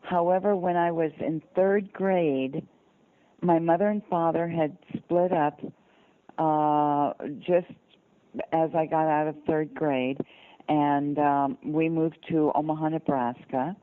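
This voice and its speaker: An older woman from the American South tells personal stories in an earnest, deliberate way. Her voice is low for a woman and comes through a narrow, dry telephone line. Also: fairly even intonation, smooth, dry recording. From southern United States (Georgia, North Carolina). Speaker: interview guest. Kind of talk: personal storytelling in conversation. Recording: muffled narrow phone-line sound.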